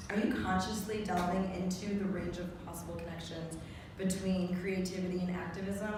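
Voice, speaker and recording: A woman moderating a panel, asking thoughtful questions in a slightly halting way. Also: lowish voice, noisy background. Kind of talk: panel discussion. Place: theater with audience. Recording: echoey theater from far off.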